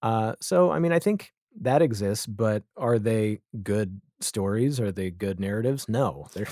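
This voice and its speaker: A man's voice: lowish voice, animated, measured pace, darkish tone, dry recording, studio quality. A man chatting casually as an interview guest, wry and opinionated. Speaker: podcast guest, audio drama creator. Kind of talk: casual interview chat about television.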